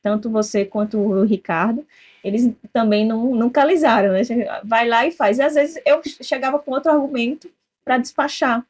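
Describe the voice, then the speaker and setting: A woman from southern Brazil with a southern Brazilian accent, hosting a casual interview and telling lively stories that act out quoted dialogue. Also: a little high, normal speed. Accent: southern Brazilian Portuguese. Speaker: podcast host.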